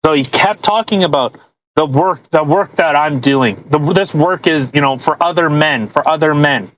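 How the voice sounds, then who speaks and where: A woman's voice: sits low, normal speed, low-quality recording. A woman hosting a podcast, chatting casually in a rambling, incredulous and mocking way. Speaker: podcast host. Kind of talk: casual chat.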